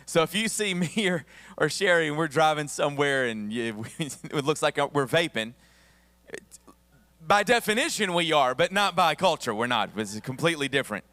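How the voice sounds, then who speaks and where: A man's voice: on the high side, expressive, moderate pace, bright, forceful tone, dry recording, big-room sound. A male pastor with a Southern American accent preaching a conversational sermon with humorous asides, his voice a bit worn. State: voice somewhat worn, losing his voice. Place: church sanctuary.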